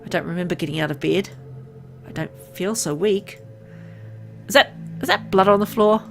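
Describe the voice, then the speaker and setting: A woman voicing a gravely ill man who is turning into a hungry vampire, reading the first-person game text aloud in her own plain voice, with game music underneath. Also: lowish voice, moderate pace, a bit bright. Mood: uneasy.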